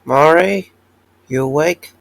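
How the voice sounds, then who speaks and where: A man's voice: on the high side, slow, vocal fry, no echo, poor audio. A man playing a jumpy, apologetic former security guard in a fan audio drama, hesitant and stammering. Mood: curious, surprised.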